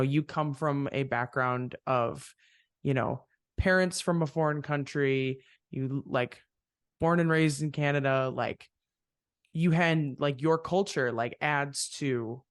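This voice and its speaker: A man hosts a relaxed interview with a musician, his mid-range voice carrying a gravelly texture. He muses at length and wanders through his thoughts, recorded close and dry with no room sound. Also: medium pitch, no room sound. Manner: reflective, rambling with fillers. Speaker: podcast host. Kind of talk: casual interview chat.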